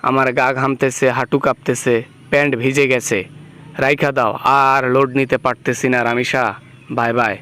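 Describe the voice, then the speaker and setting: A man's voice: mid-range voice, little pitch movement, moderate pace, sharp tone, clear, resonant tone, lightly acted. A man playing a frightened, guilty prisoner in an amateur comic phone skit, stammering and pleading with exaggerated complaints. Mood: panicked pleading. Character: frightened male prisoner, guilty, whining, woken by dream caller.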